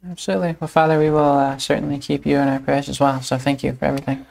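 A male host closing a talk show, speaking briskly and politely to his guest. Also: mid-range voice, little pitch movement, gravelly, a little mellow. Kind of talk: radio talk show hosting.

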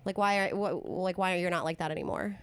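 A woman hosting a casual comedy podcast with a loud voice, often half-yelling and laughing as she talks. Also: on the low side, fairly even intonation, fast, clean tone, no echo. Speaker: podcast host.

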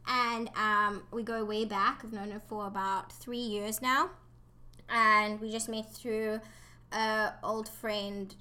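A woman's voice: mid-range voice, steady tone, slow, pushed and bright. A woman in her twenties hosting a casual interview, friendly and asking short questions. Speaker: interviewer, channel host.

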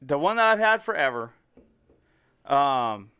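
A man's voice: mid-range voice, a little slow, clean tone, dull audio. A man in casual podcast chat, swearing freely and acting out both sides of the conversations he tells about. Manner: animated storyteller, swears freely, acts out both sides of a dialogue.

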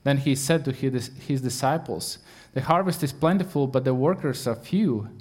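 A man preaches with a Latvian accent, searching for words in English and filling pauses with "um" and "uh." His delivery is earnest and plain, at an ordinary middle pitch for a man. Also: a little mellow. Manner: halting English with many fillers, earnest. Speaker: guest preacher. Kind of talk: sermon.

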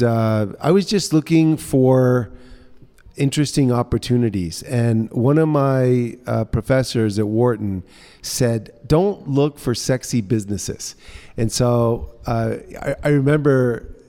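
A man's voice: mid-range voice, dark tone. A middle-aged man, telling personal stories informally as an interview guest, recorded in an indoor space. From Guatemala, England and mostly New Orleans, USA. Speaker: interview guest, social entrepreneur. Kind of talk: conversational personal storytelling. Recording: reverberant room with long echo.

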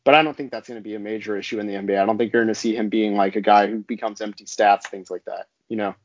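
A man's voice: fairly low pitch, steady tone, rapid-fire, no room sound. A man co-hosting a casual basketball podcast, talking in a conversational way full of "I mean" and "like". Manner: conversational, many fillers like "I mean" and "like". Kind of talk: casual sports analysis chat. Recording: dry, slightly dull lo-fi audio.

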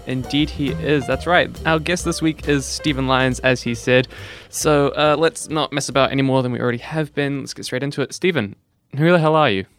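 A man's voice: medium pitch, fairly quick, fairly bright tone, soft background music. A man hosting a podcast, asking short, jokey questions. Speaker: podcast host. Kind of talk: casual interview hosting.

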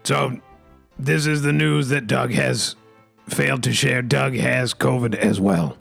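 Gravelly mock-pompous man. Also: mid-range voice, steady tone, takes it slowly, fairly bright tone, faint music behind. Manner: rambling, folksy catchphrases, mock-pompous. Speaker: comedy podcast host. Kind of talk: improvised comedy banter.

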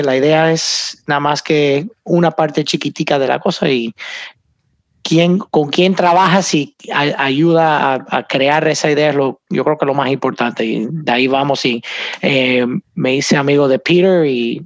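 Man, hesitant answers, fairly high pitch, dry recording. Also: no room sound. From United States (raised in Miami). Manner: hesitant, many filler sounds. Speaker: doctor and founder, guest. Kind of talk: interview answers.

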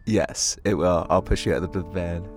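A man, a band singer chatting casually in an interview with halting, hesitant phrasing. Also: sits low, fairly even intonation, faint music behind. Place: inside a parked tour van.

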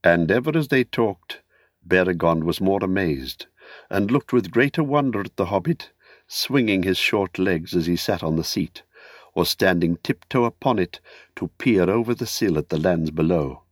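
A man with a fairly low voice narrates a literary audiobook. His delivery is measured and made for storytelling. The sound is dry, with no audible room. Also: animated, no echo.

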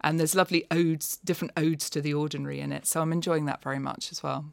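A woman's voice: low voice, fairly even intonation, fast, vocal fry, no room sound. A woman giving a conversational, articulate and discursive review of books as an expert guest. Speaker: non-fiction books expert, podcast guest.

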